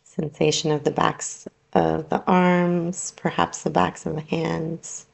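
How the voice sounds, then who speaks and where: A woman teaching yoga nidra, guiding a relaxation meditation in a soothing, unhurried, gently instructing way with long pauses. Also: low voice, flat delivery, creaky.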